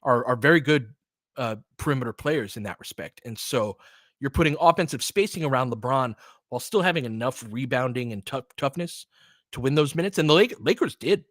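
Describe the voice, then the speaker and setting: A man giving casual, analytical basketball commentary off the cuff, thinking aloud in a halting, conversational way. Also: medium pitch, lively intonation, a bit bright, dry recording, studio quality. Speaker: audio play-by-play host and basketball analyst. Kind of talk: casual off-the-cuff sports commentary, answering listeners.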